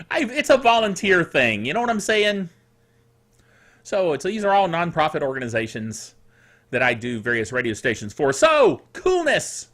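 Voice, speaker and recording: A man's voice: on the high side, sing-song, a little slow, pauses often, a bit bright. A man hosting a casual tech news show, reading items aloud with jokey, exclamatory asides and a sore throat. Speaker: host of a tech news podcast. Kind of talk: casual solo tech commentary mixed with read-out news.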